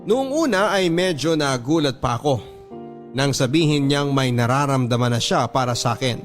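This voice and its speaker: Male narrator, Filipino accent, medium pitch. First-person radio drama storytelling over a prominent music bed. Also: animated, normal speed.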